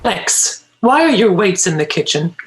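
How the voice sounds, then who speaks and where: A woman's voice: mid-range voice, sing-song, measured pace. A woman playing an exasperated, protective mother in a screenplay table read. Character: exasperated, protective mom of three.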